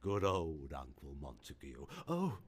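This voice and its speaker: Sinister old radio-drama villain, slow, theatrical, swooping intonation, gloating, falsely chummy. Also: male voice, very deep voice, huge pitch swings, breathy edge, heavily acted. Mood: creepy, gloating. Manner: theatrical, mock-friendly, lingering over words. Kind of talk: radio horror drama storytelling.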